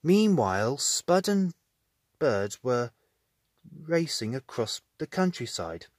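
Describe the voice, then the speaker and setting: A man narrating a children's storybook in an expressive reading style. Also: medium pitch, slow, no room sound, studio quality.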